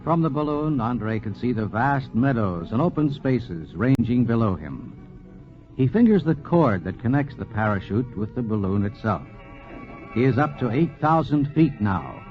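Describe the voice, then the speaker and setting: A male narrator from a 1940s radio programme reads with dramatic emphasis. His medium-pitched voice moves expressively up and down. The sound is a dull old broadcast with light music. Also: expressive, moderate pace.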